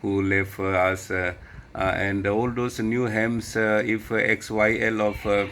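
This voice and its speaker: A man's voice: lowish voice, little pitch movement, measured pace, fairly bright tone. A man with an Indian accent speaking very hesitantly in broken phrases during an unscripted ham radio contact. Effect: ham radio transmission. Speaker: amateur radio operator asking questions. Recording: amateur radio link with light noise.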